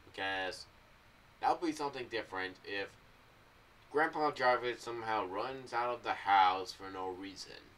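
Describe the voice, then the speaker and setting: A young American man giving casual, meandering commentary to camera in a halting, loose way. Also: fairly low pitch, a bit bright, distant mic, slight background noise, poor audio. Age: young adult. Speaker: video creator giving theories on an audio drama series. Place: small room indoors. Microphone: boom-arm condenser with pop filter, off to the side.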